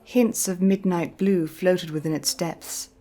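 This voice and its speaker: Low-voiced woman narrating a story. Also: steady tone. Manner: measured, deliberate phrasing. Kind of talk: scripted dramatic story narration. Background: soft music.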